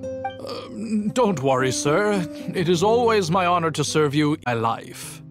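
An eager, servile brewer in a fantasy game. His voice is fairly high and slow-paced, flustered and deferential, with music underneath. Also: lively intonation. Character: nervous, devoted male master brewer, servile and eager. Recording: studio voice over game music.

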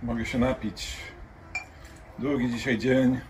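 A man in his sixties speaks slowly in a medium-pitched voice. He is outside in a garden, talking aloud to himself as part of a children's skit. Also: little pitch movement, a little hiss or hum. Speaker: performer in a children's lesson skit. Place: outdoors in a garden.

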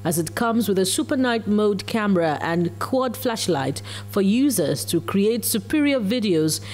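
A woman news reporter delivers a scripted voice-over at a medium pitch. Faint music plays beneath her narration. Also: animated, a little slow, darkish tone.

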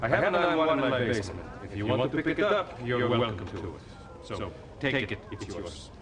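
A male actor plays a temperamental, imperious sitcom soup vendor. He speaks curtly at a medium pitch with lively swings, over heavy noise. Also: fairly quick, lightly acted. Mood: solemn. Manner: curt, imperious. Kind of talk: sitcom acting. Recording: very noisy TV audio.

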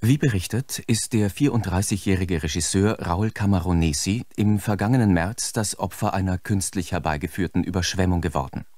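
An actor playing a sober, formal broadcast presenter reading a scripted documentary introduction. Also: male voice, sits low, brisk, few pauses, darkish tone, no echo, studio quality.

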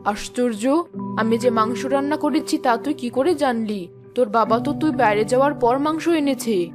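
A woman's voice: on the high side, normal speed, music in the background, natural voice. A woman voicing a caring, fussing village mother in a children's cartoon. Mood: caring, surprised.

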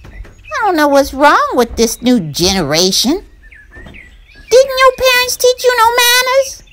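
A woman voicing a cocky, brash male rabbit with a loud, folksy, boastful drawl in a storybook read-aloud. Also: a bass voice, expressive, a little slow, a little hiss or hum, full character voice. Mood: annoyed.

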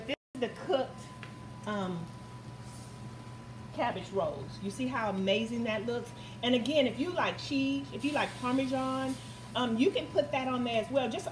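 A middle-aged woman hosting a home cooking show, chatting casually and often checking in with viewers with "guys" and "okay?". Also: on the high side. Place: large home kitchen, indoors. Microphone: camera mic across the kitchen. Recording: roomy, distant, noisy kitchen sound.

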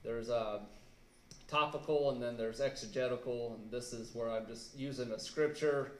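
A man in his thirties preaching a sermon in a church on a handheld mic, earnest and halting with fillers, leaning hard on key words. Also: medium pitch, fairly even intonation. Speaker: pastor. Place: church sanctuary, indoors. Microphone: handheld mic, filmed by a camera across the room. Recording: echoey church room.

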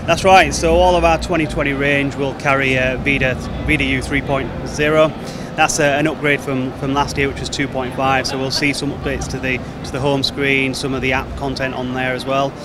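A man in his thirties talks up his company's TVs in a smooth, promotional way, his pitch sitting in an ordinary middle range. He is recorded on a handheld mic at a crowded trade show, with plain, steady hall noise running behind him. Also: a little fast. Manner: fluent, promotional, product-focused. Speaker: company marketing manager. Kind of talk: trade show interview answers. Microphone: handheld mic held by interviewer. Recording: noisy trade show floor. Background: crowd chatter and hall noise.

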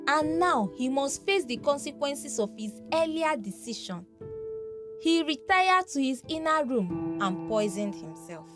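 A woman narrating a scripted folktale in a dramatic storytelling manner. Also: high voice. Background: background music.

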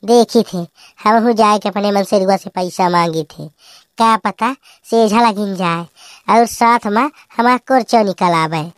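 A woman's voice: mid-range voice, measured pace, lightly acted. A woman voicing a bickering village spouse in a comedy cartoon, with a Bagheli accent and a scolding manner. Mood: musing.

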